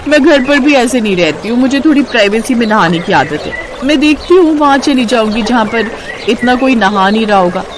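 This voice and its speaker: A woman's voice: a little high, expressive, fairly quick, background noise, lightly acted. A woman voicing a haughty, boastful rich married woman in a moral cartoon story, with a mocking, showy manner. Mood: disdainful.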